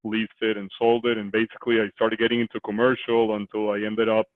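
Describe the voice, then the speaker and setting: Guy from costa rica on a video call, low flat voice, sounds tinny like a phone line, lots of uhs. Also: quick pace, dry recording. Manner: hesitant, many fillers and restarts. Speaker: guest real estate investor. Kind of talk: conversational interview. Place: small office indoors.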